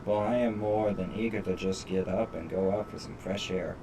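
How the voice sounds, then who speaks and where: A man's voice: lowish voice, fairly even intonation, normal speed, very noisy. A man live-streaming a game, reading the story aloud with stumbles and sweary commentary. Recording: distant mic, game music behind. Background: game music.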